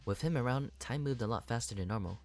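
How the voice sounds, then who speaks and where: A man's voice: mid-range voice, fast, a little mellow, soft background music. A man hosting a gaming video, reading visual novel narration aloud and reacting to it expressively.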